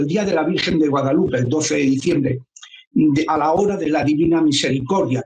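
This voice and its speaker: A man in his seventies, a priest, giving earnest, measured explanations in an interview. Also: fairly high pitch, darkish tone. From Spain. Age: 70s. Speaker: priest and guest. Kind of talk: interview answers. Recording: echoey room.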